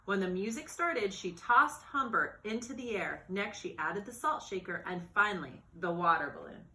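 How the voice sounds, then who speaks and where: A woman reading a children's storybook aloud in an expressive, animated narrating style. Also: medium pitch, normal speed. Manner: expressive, acts out dialogue and exclamations. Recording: roomy, echoey sound.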